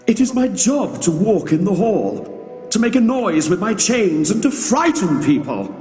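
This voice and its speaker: A sad, weary old ghost pleads in a mournful, self-pitying way, voiced by a man. His voice sits higher than the narrator's own and rises and falls a lot. Faint music runs underneath. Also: big pitch swings, unhurried, warm tone. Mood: plaintive.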